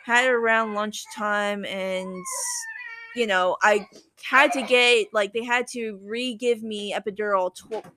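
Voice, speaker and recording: A woman's voice: mid-range voice, steady tone, measured pace, sharp tone, a little hiss or hum. A young adult woman telling a personal story as a guest, in a chatty, casual way with lots of "like" and quoted dialogue.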